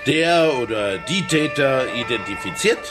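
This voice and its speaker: An actor playing a cool, precise middle-aged lawyer in a radio drama, speaking formally. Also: male voice, mid-range voice, expressive, measured pace, over music, close to an everyday voice. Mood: guarded.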